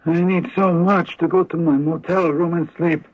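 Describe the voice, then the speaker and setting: Weary, imperious psychic doctor, higher-pitched. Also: male voice, fairly even intonation, husky, no echo. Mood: weary, insistent. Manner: formal, deliberate, insistent. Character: weary, demanding male psychic doctor, touchy and self-important. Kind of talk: 1980s radio drama acting. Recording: dull, noisy old radio broadcast.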